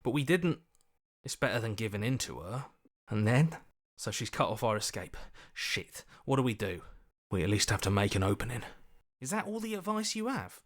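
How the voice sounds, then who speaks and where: An earnest video game hero with a medium-pitched voice. He is anxious and questioning, pressing his points with plain-spoken urgency. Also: sing-song, normal speed. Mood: worried, urgent. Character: earnest, anxious heroic inner voice, adult man. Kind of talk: video game character acting.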